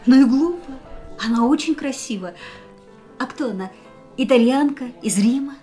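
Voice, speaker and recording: Radio drama cool young lover, detached and fatalistic, lightly high pitch, velvety rounded tone. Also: female voice, expressive, normal speed, dark tone. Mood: cool, teasing. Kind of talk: radio play acting. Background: music.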